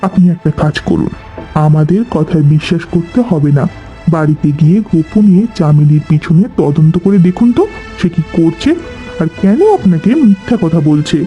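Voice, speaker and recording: A man's voice: on the high side, moderate pace, soft background music, lightly acted. A man voicing a firm, outspoken village woman in a cartoon, assertive and reproachful, in dull lo-fi sound. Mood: firm, reasoning. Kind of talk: cartoon dialogue.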